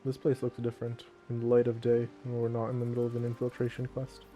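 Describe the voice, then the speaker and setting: A man's voice: mid-range voice, completely flat delivery, fast, muffled recording. A man giving casual let's play commentary, thinking aloud as he goes. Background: game music.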